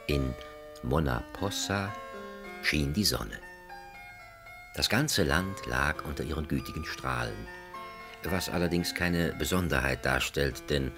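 A man narrating a satirical radio drama as a wry, mock-solemn storyteller, with a gravelly, husky voice. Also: a bass voice, heavily acted. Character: wry, leisurely male storyteller of a satirical fairy tale. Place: radio studio.

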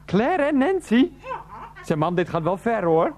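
Exasperated, put-upon husband. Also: male voice, high-pitched, big pitch swings, unhurried, darkish tone. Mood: incredulous, soothing. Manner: stammering, incredulous, placating. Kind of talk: radio play dialogue.

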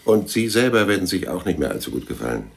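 An actor playing a gruff, hard-boiled private detective in a radio crime drama, dry, terse and sardonic. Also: male voice, sits low, very animated, fast talker, heavily acted. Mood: dry, world-weary. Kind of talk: 1990s German radio crime drama acting.

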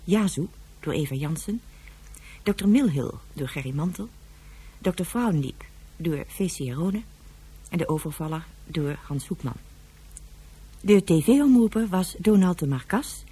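A woman's voice: low voice, expressive, a little slow, mellow, slightly rough. A woman announcer reading out scripted cast credits for a radio drama.

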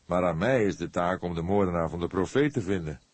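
A man voicing an old police detective in an audiobook, probing and skeptical, with a deliberate, wry way of questioning. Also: low voice. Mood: measured, insistent.

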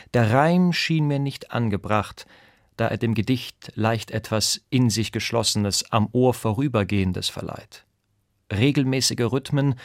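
A man's voice: medium pitch, no echo. A man giving a scripted literary reading of quoted prose in a studio. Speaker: voice actor reading quotations. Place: dry studio.

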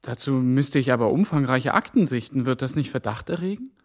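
A man's voice: mid-range voice, lively intonation, a little fast, natural voice. Casting: male actor for young trainee, radio drama. Mid-range, earnest, courteous, deferential. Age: young adult. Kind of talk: German radio drama acting.

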